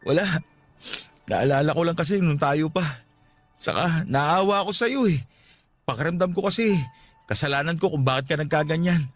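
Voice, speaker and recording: A man's voice: on the high side, expressive, normal speed, long pauses, faint music behind. An actor playing a patient, regretful young father with a Filipino accent, earnest and respectful, in a radio drama. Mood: regretful, tender. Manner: soft-hearted, earnest, respectful.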